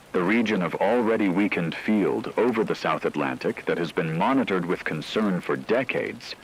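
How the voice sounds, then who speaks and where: A man playing a grave, formal radio newscaster delivering a measured, scripted emergency broadcast in a radio drama. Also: low-pitched, slight background noise, poor audio. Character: grave male radio newscaster announcing planetary catastrophe. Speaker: broadcast announcer.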